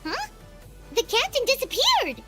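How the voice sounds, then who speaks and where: A woman voicing a small, childlike, outspoken companion girl in a video game, squeaky and expressive. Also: a little slow, music in the background, full character voice. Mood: startled. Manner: expressive, exclamatory. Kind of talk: English game voice acting.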